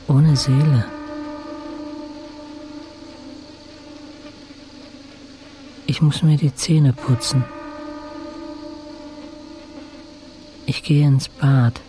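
An actor playing a weakened male patient, narrating his recovery in terse, measured fragments as a radio drama monologue. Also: mid-range voice, close to an everyday voice. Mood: haunted, detached. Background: music.

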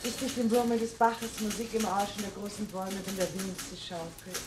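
A woman's voice: medium pitch, steady tone, fairly quick, lightly acted. A woman playing a musing radio station worker in a 1960s radio play, in an echoey, noisy recording from a distance.

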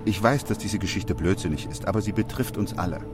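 An actor playing a sober, dutiful doctor who also narrates a radio drama, in a measured and restrained manner. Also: male voice, low-pitched, close to an everyday voice. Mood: restrained, firm. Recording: music behind the voice. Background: music.

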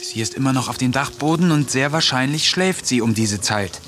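A man narrating a radio play as a diary, slow, subdued and introspective. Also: medium pitch, animated. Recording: noisy background. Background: ambient noise.

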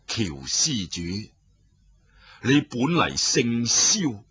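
A man voicing an old male Buddhist abbot who speaks in a slow, solemn, heavy way. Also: mid-range voice, sing-song, fairly bright tone, breathy edge, dry recording, full character voice. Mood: grave. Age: older.

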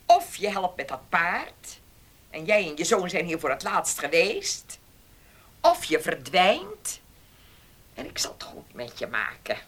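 An actress playing a domineering, scheming farm mistress in a Dutch radio play, commanding and condescending. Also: female voice, on the low side, big pitch swings, breathy edge, heavily acted. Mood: coldly threatening. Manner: commanding, condescending, deliberate threats.

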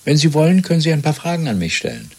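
An actor playing a cold, fanatical interrogator in a radio play, deliberate and patronising, with a gravelly voice that often sinks to a whisper. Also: male voice, on the high side, wildly swooping pitch, full character voice. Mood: smooth, superior. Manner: deliberate, patronising, swinging between soft and commanding. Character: cold, fanatical male Party interrogator and torturer. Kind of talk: 1970s German radio play acting.

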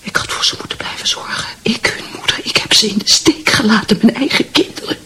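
A woman's voice: on the high side, a little fast, sharp tone. An actress in a radio drama playing a distraught, lovesick married mother, pleading and often near whispering. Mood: anguished, bitter.

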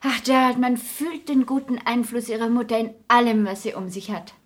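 A woman's voice: a little high, steady tone, normal speed, lightly acted. An actress playing an older, genteel, scatterbrained society lady who rambles on, in a 1960s German radio drama. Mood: chatty, genial. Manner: rambling, genteel, questioning tags.

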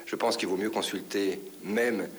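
A man's voice: lowish voice, steady tone, normal speed. A man explaining things as an expert in a radio interview. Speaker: hand surgeon. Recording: hissy radio interview recording.

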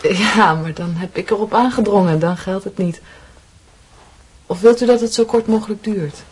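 An actress playing a fragile, anxious young niece in a radio drama, careful and breathy in her speech. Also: mid-range voice, normal speed, darkish tone, lightly acted. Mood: polite, uneasy.

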